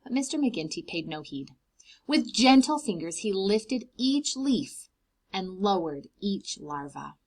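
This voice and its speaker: A woman reading a children's storybook aloud in an expressive way, explaining things simply to young children. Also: medium pitch, a little slow, slightly rough, low-quality recording. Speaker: read-aloud host for preschoolers.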